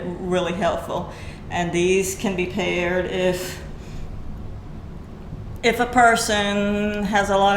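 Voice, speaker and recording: A woman chats through a product demonstration at an unhurried pace, with a slightly low voice. Her manner is informal and folksy, with halting explanations. The room is echoey, with faint noise behind her. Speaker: product reviewer and vlogger. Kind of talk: casual product demo chat. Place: indoors at home. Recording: roomy echo, faint background noise.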